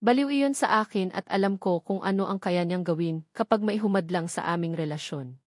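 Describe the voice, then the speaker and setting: A woman narrates a romance story in the first person. Her voice sits at a middle pitch, and the recording is dry and close, like an audiobook. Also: fairly quick, no room sound. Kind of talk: scripted first-person novel narration with acted dialogue.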